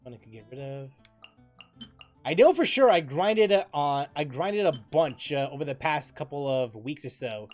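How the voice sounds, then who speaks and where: A game streamer chatting casually while playing, thinking aloud, repeating phrases and breaking into loud exclamations. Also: mid-range voice, lively intonation, moderate pace, faint music behind. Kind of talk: casual livestream chat and gameplay commentary.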